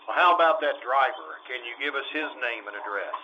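A man's voice: medium pitch, animated, fairly quick, close to an everyday voice. Man playing a clipped radio police detective, slightly muffled. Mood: businesslike. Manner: clipped, matter-of-fact. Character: calm, persistent, businesslike male police detective questioning a witness. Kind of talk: 1950s radio police drama acting. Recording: dull radio recording, faint noise.

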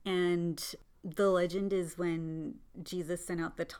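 A woman who grew up in Southern California, a podcast guest telling her life story in an earnest, reflective way with frequent small pauses. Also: lowish voice, fairly even intonation, smooth. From Southern California, born in India. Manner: earnest, thoughtful, often pauses with "like" and soft laughs. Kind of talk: reflective personal storytelling in conversation.

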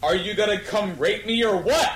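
A man's voice: sits high, moderate pace. A man co-hosting a podcast, reading fan fiction aloud in a mock-dramatic way and exclaiming the dialogue. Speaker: podcast co-host. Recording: roomy sound with faint noise.

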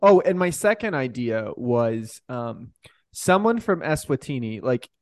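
A man's voice: medium pitch, sing-song, measured pace, dry recording, clean studio sound. A man co-hosting a podcast, chatting casually and jokingly. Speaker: podcast host.

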